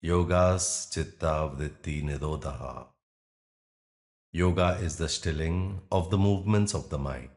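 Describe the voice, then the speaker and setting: A man guiding a yoga nidra meditation in a soothing, instructional manner with pauses between phrases. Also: deep voice, a little mellow. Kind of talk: guided meditation narration.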